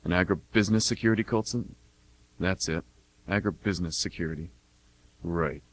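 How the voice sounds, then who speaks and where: A man's voice: deep voice, a little fast, no room sound. A man acting a weary, self-deprecating mercenary in his late twenties in a radio play, talking in a folksy, hesitant mutter. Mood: bitter, self-mocking.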